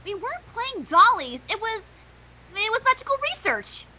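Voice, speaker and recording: A woman's voice: very high-pitched, very animated, moderate pace, noisy background. A woman voicing a studious, easily flustered young female pony in amateur fan fiction acting, prim and emphatic. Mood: flustered, defensive.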